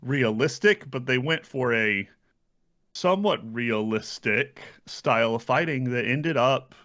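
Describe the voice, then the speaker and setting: Male podcast co-host, bright forward voice, casual and explanatory review chat, mid-pitched. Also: lively intonation, a little slow.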